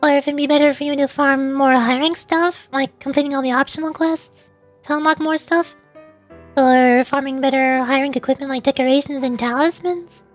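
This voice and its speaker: A woman's voice: high-pitched, fairly even intonation, normal speed, faint music behind. A woman livestreamer chatting casually with her viewers in a playful, mock-indignant way. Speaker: VTuber livestreamer.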